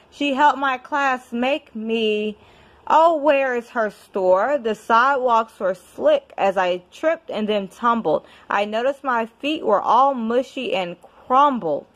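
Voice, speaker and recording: Woman, somewhat high-pitched, expressive children's read-aloud, very unhurried and deliberate delivery. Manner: measured, deliberate storybook delivery. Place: indoors at home.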